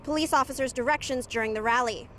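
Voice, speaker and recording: A woman's voice: high-pitched, fast. A woman delivering a news report in a scripted, on-the-scene style, as a reporter. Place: outdoors on a busy street at a protest. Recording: clear street noise behind the voice.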